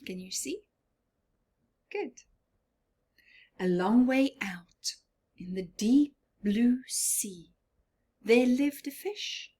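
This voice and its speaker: A woman reading a story aloud to children as a teacher would, expressive and deliberate. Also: a little high, husky. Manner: expressive, deliberate, questioning the listeners.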